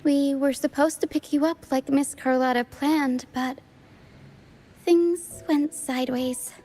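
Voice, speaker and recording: A woman voicing a playful, earnest young female troupe member in a video game, speaking softly with theatrical touches. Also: sits high, fairly even intonation, takes it slowly, slight background noise. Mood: worried, resolute. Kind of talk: video game dialogue acting. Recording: game music behind the voice.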